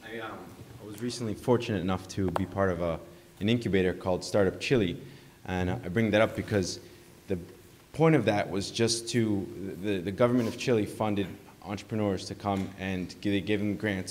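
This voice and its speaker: Male audience questioner, low-pitched and halting, recorded in a reverberant conference hall. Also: steady tone, darkish tone. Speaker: audience member asking a question. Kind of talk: extended question at a panel discussion.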